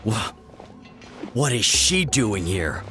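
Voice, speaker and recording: Wary priest over music. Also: male voice, fairly low pitch, big pitch swings, takes it slowly. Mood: startled. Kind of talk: English video game voice acting.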